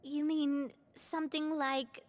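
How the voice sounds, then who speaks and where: A woman playing a modest, playful young town president in a video game, breezy and quick to tease. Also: high voice, little pitch movement, dry recording. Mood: thoughtful, curious. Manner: playful, breezy, laughs easily. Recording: music under the voice.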